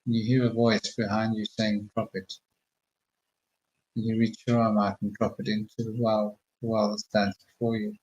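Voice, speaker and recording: A man slowly talking listeners through a guided meditation with calm, murmured instructions. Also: lowish voice, muffled recording, a little hiss or hum. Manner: slow, soothing, murmured instructions.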